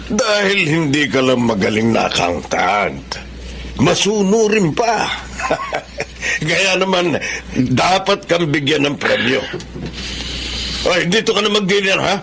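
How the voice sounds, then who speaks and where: An actor playing a jovial, scheming, generous company boss in a radio drama, hearty and coaxing. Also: male voice, a little high, sing-song, slow, creaky, noisy background, heavily acted. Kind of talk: Filipino radio drama acting.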